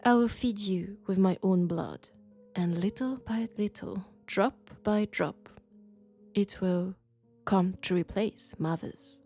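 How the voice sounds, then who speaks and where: A woman with a French accent playing an elegant, condescending vampire scholar in an intimate roleplay audio drama, speaking deliberately and seductively. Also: medium pitch. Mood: pleased, soothing. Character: elegant, sophisticated, coolly curious female vampire scholar. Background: faint dark ambient music.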